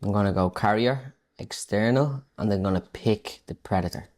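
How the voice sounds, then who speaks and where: A man's voice: lowish voice, normal speed, darkish tone. A man giving a casual, unscripted music production tutorial, thinking aloud informally as he works. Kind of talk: casual unscripted tutorial talk while working.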